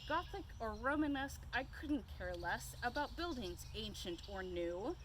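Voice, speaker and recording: A woman's voice: on the high side, expressive, measured pace, a bit bright. A woman voicing a stern, dramatic female schoolteacher in a children's storybook, scolding and theatrical. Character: stern, dramatic female second-grade schoolteacher who hates buildings. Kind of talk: children's picture-book read-aloud. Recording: clear outdoor background noise.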